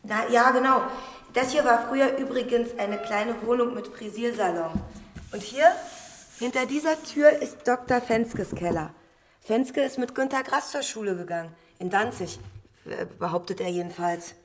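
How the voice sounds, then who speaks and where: An actress playing a matter-of-fact guide in a radio play, explaining things in a confiding, conversational way. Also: female voice, a little high, normal speed, a little hiss or hum, lightly acted. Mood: brisk, showing around. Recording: echoey cellar-like room.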